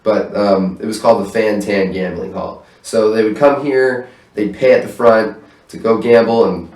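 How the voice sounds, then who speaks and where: Man, low voice, casual rambling, swears a lot, echoey room, distant mic. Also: moderate pace, a little mellow. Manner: loose and chatty, swears freely, laughs often. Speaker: stage manager interviewed as guest. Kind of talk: casual interview chat. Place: his own apartment room, indoors. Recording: roomy echo, voice far from mic.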